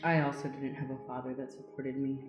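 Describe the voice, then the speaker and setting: A woman's voice: extremely low pitch, little pitch movement, fairly quick, muffled recording. A woman playing a wry, casual small-town dreamer in an improvised comic radio play. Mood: wistful. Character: wry, downtrodden would-be kimono seller from Iowa. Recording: roomy, faint music.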